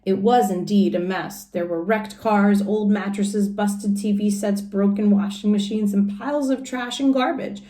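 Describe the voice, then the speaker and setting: A woman's voice: medium pitch, steady tone, a little slow, hardly any pauses, dark tone. Woman reading to children in an echoey room. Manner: explains simply and asks listeners questions. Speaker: teacher reading a picture book aloud. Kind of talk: expressive children's storybook read-aloud with chatty asides to young listeners.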